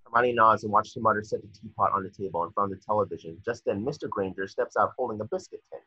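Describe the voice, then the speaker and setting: A man's voice: lowish voice, quick pace, sharp tone, husky, no echo. A young man reading screenplay stage directions as scripted narration, on dull video-call audio. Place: indoor room at home, on a video call.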